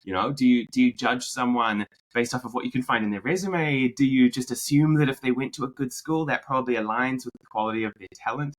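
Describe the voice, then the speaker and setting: A man from New Zealand with a drifting New Zealand accent, a podcast guest telling his story in a relaxed way. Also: mid-range voice, fast, few pauses, poor audio. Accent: New Zealand, mixed with American. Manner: relaxed storyteller, many fillers and restarts. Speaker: podcast guest, marketing executive. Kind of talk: conversational interview.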